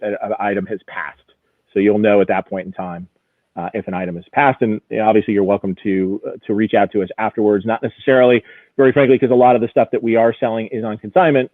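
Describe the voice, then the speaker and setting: A man's voice: fairly low pitch, no echo. A man talking casually and explaining things in detail as an interview guest, with enthusiasm for his subject. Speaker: auction house executive guest. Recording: tinny, narrow phone-like sound.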